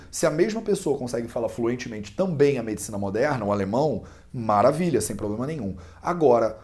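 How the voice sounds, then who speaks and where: Young Carioca man with a warm voice. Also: mid-range voice. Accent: Carioca Brazilian Portuguese. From Brazil. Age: young adult. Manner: patient explaining with everyday analogies, frequent restarts. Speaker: Ayurveda student interviewed as a guest. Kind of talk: explanatory interview answer.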